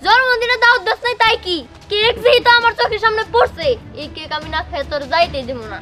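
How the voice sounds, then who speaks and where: A woman's voice: extremely high pitch, quick pace, over music. A woman playing a bratty, cake-crazy young bully in a cartoon moral story, mocking and bossy. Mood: domineering.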